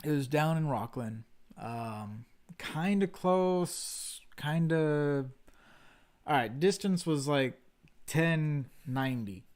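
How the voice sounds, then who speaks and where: A man's voice: fairly high pitch, animated, takes it slowly, darkish tone. A man co-hosting a casual livestream chat, telling a long story in a rambling, self-deprecating way. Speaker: stream co-host. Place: home livestream setup.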